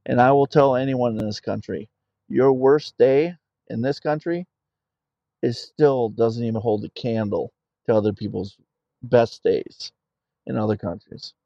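Middle aged army vet telling stories, gravelly voice, kinda dull dry recording. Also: male voice, mid-range voice, a little slow, dark tone, no room sound. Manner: plainspoken, tells stories. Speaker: podcast guest, army veteran. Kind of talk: conversational interview.

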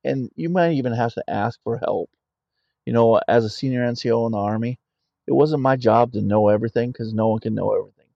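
A man's voice: mid-range voice, brisk, vocal fry, no echo, muffled recording. A middle-aged man, an army veteran guest on a podcast, talking in a plainspoken way and telling stories. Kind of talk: conversational interview.

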